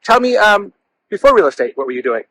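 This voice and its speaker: A man's voice: sits high, very animated, fast talker, pushed and bright, no room sound. A man hosting a casual walk-and-talk interview, speaking in a gushing, effusive way. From California, lived in the Bay Area. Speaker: interview show host.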